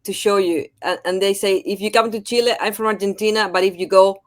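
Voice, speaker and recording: Casual female co-host, medium pitch. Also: fairly even intonation, a little fast. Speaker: co-host relaying audience comments. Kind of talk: casual live-session chat.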